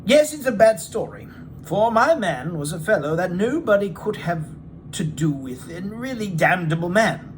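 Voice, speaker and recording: A man voicing a talkative, candid gentleman who tells an odd tale with emphatic, exclamatory delivery in a storybook reading. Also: high voice, very animated, normal speed, slight background noise. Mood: animated, opinionated.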